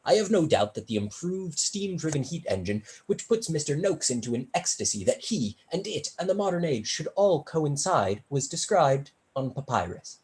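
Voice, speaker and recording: A performer playing a witty young male tutor in a staged period play, speaking in a dry, measured, articulate way. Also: mid-range voice, very animated, a little mellow, natural voice. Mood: wry, philosophical.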